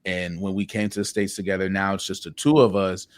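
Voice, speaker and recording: A man's voice: sits low, flat delivery, fairly quick, dry recording. A man from Haiti, a podcast guest, tells personal stories in an earnest way, repeating words as he starts his sentences. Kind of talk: reflective personal storytelling.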